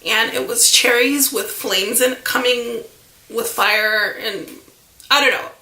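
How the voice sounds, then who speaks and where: A woman in her thirties with a high, bright voice that runs gravelly at the ends of phrases, stuffy from a lingering cold. She rambles through a casual vlog story full of "like" and "you know." The room around her is echoey. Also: takes it slowly. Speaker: vlogger.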